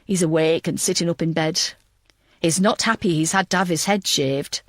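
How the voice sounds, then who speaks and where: A woman's voice: fairly low pitch, normal speed, dry recording, studio quality, voice pushed down, close to an everyday voice. A woman voicing a tired, loyal male police detective with a matter-of-fact manner in an audiobook. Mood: tired, reassuring.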